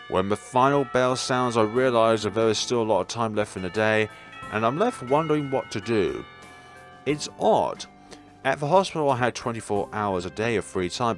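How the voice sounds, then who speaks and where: A man's voice: medium pitch, a bit bright, dry recording. A man hosting a let's play, reading a visual novel aloud and adding his own commentary, sometimes stumbling and correcting himself.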